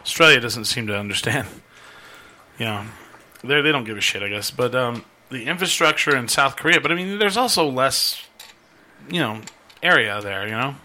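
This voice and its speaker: Host role: bright, tense male voice, going hoarse, rambling casual talk. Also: medium pitch, expressive, moderate pace. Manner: rambling, loose, swears casually. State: losing his voice. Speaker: podcast host. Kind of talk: casual live-stream chat.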